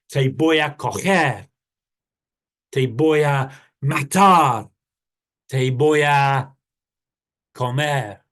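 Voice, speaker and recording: Storyteller doing a low, menacing jaguar. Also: fairly bright tone. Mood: threatening. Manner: low, growling, slow and menacing. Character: big hungry menacing jaguar. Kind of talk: bilingual children's storytelling.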